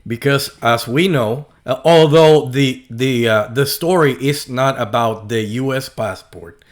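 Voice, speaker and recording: Casting: Puerto Rican man, mid-pitched, slow and halting delivery, dry sarcasm. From Puerto Rico. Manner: slow and halting, many fillers and restarts, sarcastic asides. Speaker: video host. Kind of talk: casual monologue to camera.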